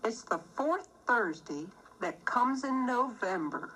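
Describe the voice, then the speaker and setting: Female children's book reader, lively melodic range, slow pacing, expressive, music in the background. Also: fairly high pitch, a little hiss or hum, poor audio. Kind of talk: scripted storybook narration.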